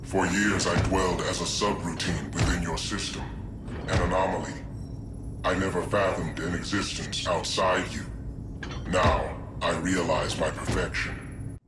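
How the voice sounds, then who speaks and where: An actor voicing a cold, superior machine intelligence in a robot body for a video game, formal, detached and haughty. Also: male voice, low-pitched, steady tone, measured pace. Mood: cold superiority. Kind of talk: video game cutscene acting. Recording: music behind the voice.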